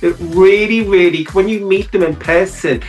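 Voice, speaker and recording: A man who performs as a drag queen, telling stories in a gushing, animated way and laughing often during a chatty interview. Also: sits high, normal speed, soft background music.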